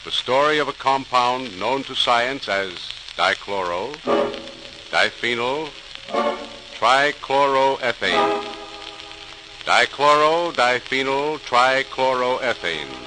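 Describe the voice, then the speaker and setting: A man giving formal, deliberate, dramatic scripted narration in the manner of a 1940s radio programme. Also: medium pitch, expressive, music in the background. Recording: hissy, crackling 1940s radio recording.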